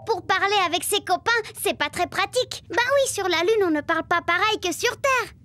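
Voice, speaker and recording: A woman voicing a lively little girl who tells a cartoon story in a childlike, chatty, playful way. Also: extremely high pitch, brisk, full character voice. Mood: playful storytelling. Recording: loud music behind.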